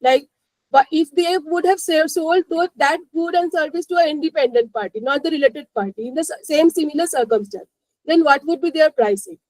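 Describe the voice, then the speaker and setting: A woman's voice: high voice, brisk, a little mellow, no room sound, poor audio. A woman with an Indian accent presenting a live explanatory finance lesson, peppering it with "you know" and "like" and checking that listeners follow. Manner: repeats 'you know, like' and checks understanding.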